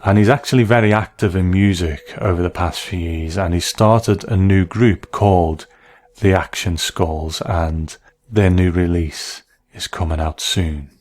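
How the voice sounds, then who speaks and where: A man's voice: sits low, darkish tone. A man hosting a scripted retrospective, giving appreciative commentary on a classic show. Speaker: host. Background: music.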